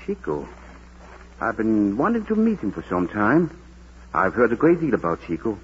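An actor playing a kindly parish priest, thoughtful and measured, in a Golden Age radio drama. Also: male voice, fairly low pitch, wildly swooping pitch, slight background noise. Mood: gently persuasive. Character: gentle, wise, patient male parish priest. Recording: dull old radio drama recording.

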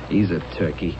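An actor playing a young hip musician and husband in a radio drama, earnest and defensive, with halting slangy talk. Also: male voice, low voice. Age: young adult. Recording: dull, noisy old radio broadcast.

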